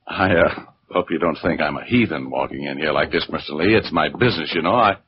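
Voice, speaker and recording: Brash 1940s radio detective, low-voiced. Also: male voice, brisk. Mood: insistent. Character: brash, wisecracking male private detective, stubborn. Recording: muffled, noisy 1940s radio recording.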